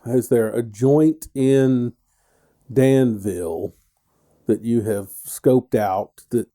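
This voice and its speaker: A man's voice: medium pitch, drawn-out words, warm tone. A middle-aged man hosting a casual radio interview, rambling and joking with plenty of restarts. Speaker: radio show host.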